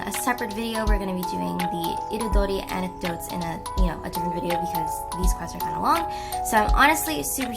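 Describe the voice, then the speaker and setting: A young woman hosting a gaming video, chatting casually and excitably, correcting herself as she goes, with game music behind. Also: medium pitch. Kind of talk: casual, chatty gameplay commentary.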